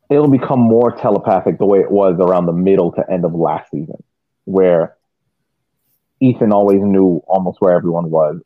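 A man's voice: sits low, no room sound, narrow, tinny audio. A man giving analytical sports commentary as a podcast panelist, explaining at length and repeating words as he goes.

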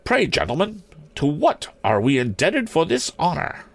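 Indignant, high-pitched elderly headmistress. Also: male voice, wildly swooping pitch, moderate pace, vocal fry, no echo, full character voice. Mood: indignant, haughty. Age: older. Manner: haughty, formal, scolding. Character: bristling, determined elderly headmistress, indignant and imperious.

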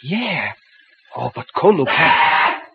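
An actor playing an eager, slangy young fighter in a guerrilla band, in 1940s radio adventure style. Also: male voice, on the high side, big pitch swings, takes it slowly, vocal fry, heavily acted. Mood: curious, alert. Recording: muffled, hissy old radio broadcast.

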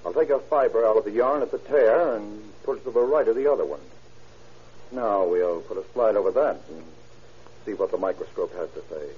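An actor playing a careful police lab chemist who explains deliberately with hesitant murmurs in an old-time radio drama. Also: male voice, fairly low pitch, lightly acted. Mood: methodical, absorbed. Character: careful, unhurried male police laboratory chemist. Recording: dull, noisy vintage radio recording.

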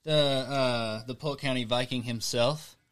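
A man's voice: mid-range voice, steady tone, measured pace, fairly bright tone. A man hosting a casual garage podcast, laid-back and swearing freely, calling people dude and bro. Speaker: podcast host.

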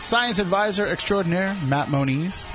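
A man's voice: high-pitched, lively intonation, normal speed, over music, poor audio. A man with a Boston accent hosting a radio talk show, bantering casually and poking fun at himself. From New England. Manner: joking, self-deprecating.

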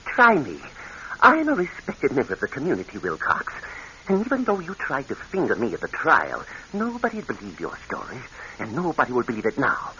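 An actor playing a scornful, threatening bank executive in a radio crime drama, pompous and dismissive in delivery. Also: male voice, mid-range voice, wildly swooping pitch, a little fast, bright, forceful tone, full character voice. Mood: angry, threatening. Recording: dull, hissy old radio recording.

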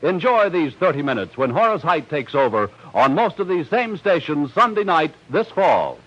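A male 1940s radio announcer with a fairly high, lively voice. He reads scripted copy in a polished, promotional way, with plenty of swing in his pitch. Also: moderate pace, highs cut off.

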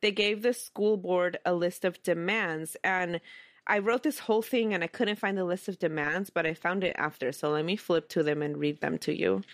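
Low-voiced woman telling a history story. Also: normal speed, no room sound, studio quality. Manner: conversational, reads quoted documents aloud, chuckles often. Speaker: podcast host. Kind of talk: casual history podcast storytelling.

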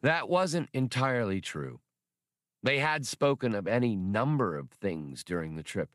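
Male narrator, lowish pitch, dry close sound, scripted audiobook storytelling. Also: very animated, measured pace, dry recording. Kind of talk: scripted narration of a science fiction novel.

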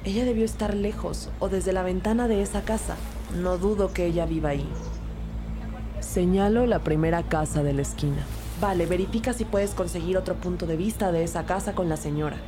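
Woman acting a brisk female detective, lowish voice, measured first-person narration, audio drama, loud music underneath. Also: lightly acted. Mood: serious, focused. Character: brisk female police detective narrating her own case. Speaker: narrator and lead actor.

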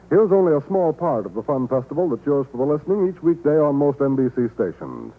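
A thin, band-limited old broadcast carries a male announcer with a slightly high, very animated voice. He reads in the polished, emphatic promotional style of fifties network radio. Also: narrow, tinny audio.

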